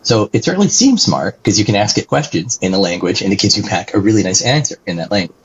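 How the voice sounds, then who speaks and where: A man's voice: fairly low pitch, expressive, fast, slightly rough. A man being interviewed as a guest, explaining things animatedly and tagging sentences with "right?", on a dull lo-fi recording. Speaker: guest, company executive. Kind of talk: conversational interview answers.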